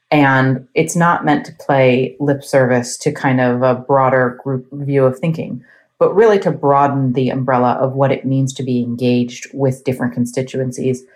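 A woman's voice: extremely low pitch, darkish tone. Professional woman discussing as a panelist. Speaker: consultant advising corporate clients, panelist.

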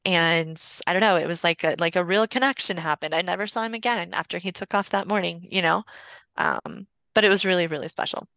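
A woman's voice: on the low side, brisk, creaky, sharp tone, no room sound. A woman in her forties telling personal stories casually as a podcast guest, in a candid, rambling, conversational way. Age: 40s.

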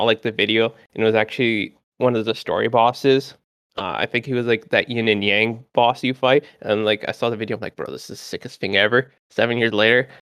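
A man's voice: lowish voice, a bit bright, dry recording. Dude in his 20s, rambly and chatty, lots of likes and uhs, dry close sound. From Canada. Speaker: podcast guest. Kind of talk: casual gaming podcast chat.

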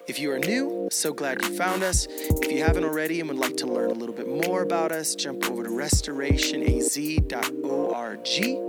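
A man giving an upbeat, scripted welcome as a church host introducing a teaching. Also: fairly high pitch. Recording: loud hip hop music under voice. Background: loud hip hop music.

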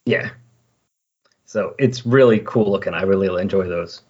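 A man with a slightly husky voice in casual, rambling, enthusiastic conversation as a podcast host. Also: low-pitched, moderate pace, darkish tone. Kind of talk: casual chat about comic books. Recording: dull, lo-fi recording.

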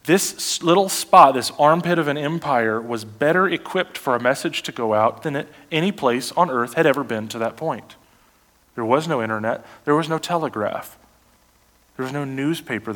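Male pastor, sermon delivery, animated and questioning, medium pitch. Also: moderate pace. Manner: animated, rhetorical questions to the congregation.

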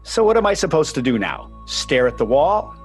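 An actor playing an aggrieved, sentimental ex-husband and sports fan in a radio play, speaking plaintively. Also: male voice, a little high, big pitch swings, normal speed, soft background music. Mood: indignant.

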